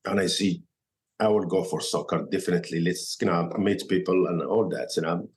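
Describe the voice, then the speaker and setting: Man, Moroccan accent, Arabic native speaker, very low voice, hesitant broken English, podcast guest. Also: a little mellow. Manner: halting non-native English, many fillers and restarts. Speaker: guest chef. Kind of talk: casual podcast interview.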